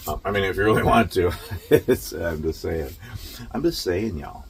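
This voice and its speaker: A man's voice: low voice, lively intonation, moderate pace, creaky, a little hiss or hum. A man in his late fifties, a truck driver chatting casually and rambling easygoingly while sitting outdoors under a shelter in the rain, recorded on his phone. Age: 50s. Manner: rambling, easygoing, chuckles often. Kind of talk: casual outdoor vlog chat. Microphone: phone camera.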